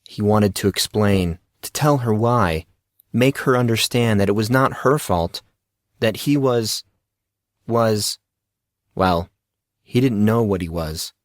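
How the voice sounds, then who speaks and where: A man narrating scripted fiction as an audiobook, in a measured, dramatic storytelling manner. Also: lowish voice, dry recording, studio quality.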